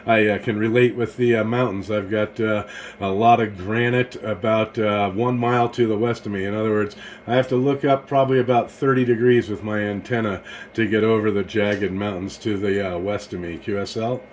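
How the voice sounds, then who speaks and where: A man's voice: lowish voice, steady tone, normal speed, slight background noise. An American man chatting casually as an amateur radio operator, in a hesitant, rambling way. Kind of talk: casual ham radio conversation.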